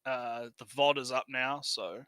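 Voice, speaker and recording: A man's voice: mid-range voice, steady tone, a little slow, pushed and bright, smooth, no room sound. A man co-hosting a livestream with casual banter, rambling and often repeating his phrases. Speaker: livestream co-host.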